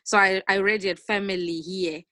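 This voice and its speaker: A woman's voice: mid-range voice, steady tone, brisk, pushed and bright, no room sound, studio quality. A young woman with a Zimbabwean accent, answering questions as a guest in a conversational interview over a video call. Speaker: interview guest, finance analyst.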